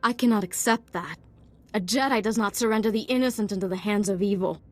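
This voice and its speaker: A woman's voice: mid-range voice, fast, soft background music, natural voice. A woman playing a young Jedi apprentice in a science fiction game, solemn, resolute and formal in her speech. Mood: solemn defiance. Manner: noble, formal.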